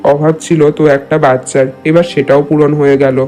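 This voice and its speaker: A man's voice: fairly high pitch, flat delivery, moderate pace, mellow, natural voice. A man playing a kindly, reassuring village landlord and father in a cartoon. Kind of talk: cartoon character acting in a Bengali moral tale.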